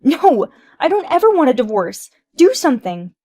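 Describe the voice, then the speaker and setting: A woman plays a shrill, entitled young wife who whines and protests at every turn. Her voice rises high and loud, with a dry close sound and no room around it. It stays indignant and childish. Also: sing-song, moderate pace, no echo. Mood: indignant whining.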